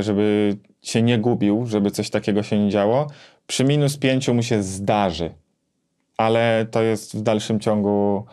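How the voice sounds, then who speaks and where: A man in his thirties explaining a gear comparison conversationally, with hesitant pauses as he thinks. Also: fairly low pitch, darkish tone, clean tone. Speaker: gear reviewer and co-host. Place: small home music studio, indoors.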